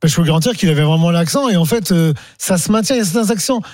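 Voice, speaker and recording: A man chatting on a radio talk show in a rambling, animated way, close to a studio microphone. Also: on the high side, racing, no echo. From France. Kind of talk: casual radio chat.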